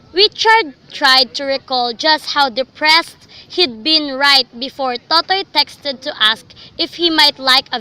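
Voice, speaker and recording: High, bright young woman narrating. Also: a little hiss or hum, poor audio. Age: young adult. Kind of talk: scripted literary narration for a student radio drama.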